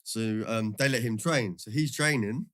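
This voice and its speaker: A man's voice: mid-range voice, moderate pace, a bit bright, no echo, studio quality. A young man, telling stories from his football career in a casual podcast chat, slangy and laughing often. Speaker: guest, former footballer.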